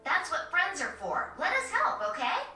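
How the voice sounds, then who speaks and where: A piercingly bright, brash big-sister adventurer from a game cutscene. She swings animatedly through a high register, cheerful and blunt. Her voice carries a heavy echo. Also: huge pitch swings, normal speed, full character voice. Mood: brisk encouragement. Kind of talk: English-dubbed game cutscene acting. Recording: very echoey, distant game capture, music behind.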